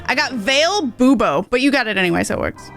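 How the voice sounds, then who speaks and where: A woman's voice: a little high, animated, fast. A woman streaming a game, chatting casually in a blunt, playful, mildly sweary way. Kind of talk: casual gaming stream chat. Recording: game music behind the voice.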